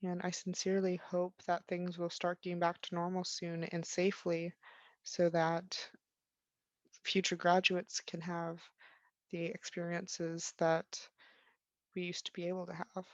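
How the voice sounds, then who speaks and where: A woman in her twenties speaking in a measured, articulate way, as a student giving a reflective interview. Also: lowish voice, monotone, dry recording, highs cut off. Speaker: university student.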